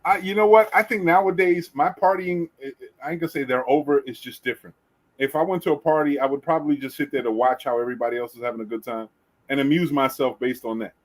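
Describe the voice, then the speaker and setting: A middle-aged man hosting a podcast, chatting casually and telling lively stories with casual swearing. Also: mid-range voice, fast talker, poor audio. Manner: animated storyteller, swears casually. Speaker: podcast host. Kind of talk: casual chat.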